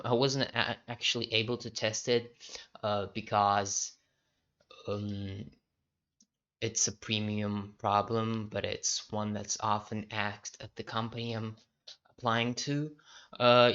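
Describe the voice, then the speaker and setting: A young man casually walking through his own code, halting often with fillers and restarts. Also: fairly low pitch, a bit bright, smooth. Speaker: programming diary vlogger. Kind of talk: casual screen-share walkthrough. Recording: dull lo-fi audio.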